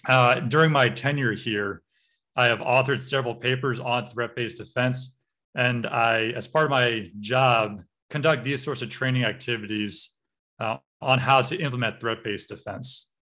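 American man giving a relaxed training talk. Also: medium pitch, normal speed. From United States. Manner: relaxed, frequent uh and um fillers. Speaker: cybersecurity trainer. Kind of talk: online workshop lecture over slides.

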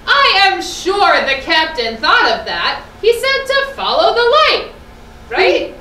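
A worried young puppet sailor, played by a woman, grumbles slowly and with exaggeration. The pitch is extremely high and swings widely. It is a children's puppet show picked up in an echoey room. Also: very animated, bright, forceful tone, exaggerated voice. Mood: uneasy. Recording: roomy echo, slightly distant mic, faint noise.

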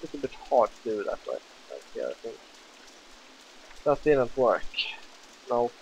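A young man from Sweden with a Swedish accent, chatting casually and excitedly as he plays a game, swearing freely. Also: mid-range voice, unhurried, a little hiss or hum, rough recording. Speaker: let's play gamer. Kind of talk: casual gameplay commentary.

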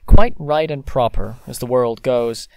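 An actor playing an idle, teasing, lovesick young gentleman in an audio drama. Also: medium pitch, a little slow, vocal fry, no room sound. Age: young adult.

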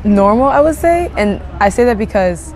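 A woman's voice: fairly high pitch, lively intonation, moderate pace, darkish tone, a little hiss or hum. A young woman raised in Japan with Japanese as her first language, sharing personal stories in a thoughtful, casual way, with many "you know" fillers and restarts. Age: young adult. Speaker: interviewee. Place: outdoors among large tropical plants.

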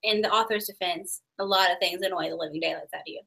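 A woman's voice: lowish voice, fast talker, vocal fry, sharp tone, husky. A young woman interviewing a friend over a video call, reading out questions with a teasing manner. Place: bedroom. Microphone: webcam mic on a video call.

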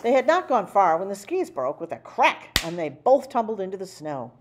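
A woman reading a children's picture book aloud in an animated storytelling style. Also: medium pitch, normal speed, a little hiss or hum. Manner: animated, acting out dialogue. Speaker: storybook reader.

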